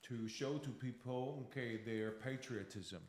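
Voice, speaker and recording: A man's voice: medium pitch, a little slow. A man from Taiwan, chatting casually with wry, dry jokes and frequent "okay" fillers. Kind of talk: casual podcast chat. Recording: roomy echo.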